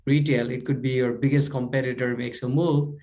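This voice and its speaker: A man's voice: medium pitch, flat delivery, brisk, darkish tone. A man from Nepal with a Nepali accent speaks conversationally as an interview guest, explaining things with frequent "you know" and "right?" tags. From Kathmandu, Nepal. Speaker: company co-founder being interviewed.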